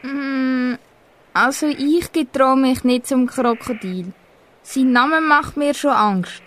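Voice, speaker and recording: A woman's voice: high voice, slow, fairly bright tone. A teenage girl with a Swiss German accent playing a timid but helpful animal in an amateur radio play.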